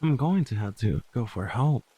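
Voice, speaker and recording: A man playing a cautious husband in an audio horror story, speaking in a grave, measured way. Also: mid-range voice, animated, dark tone, slightly rough, no echo. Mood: worried, practical. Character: cautious husband stranded in a storm.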